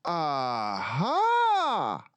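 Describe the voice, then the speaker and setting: A young storybook bear cub, acted out by a man, wide-eyed and exclamatory. The pitch jumps high and swings wildly. It is an excitable little boy's voice, recorded dry. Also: extremely slow, dry recording, heavily acted. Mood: amazed.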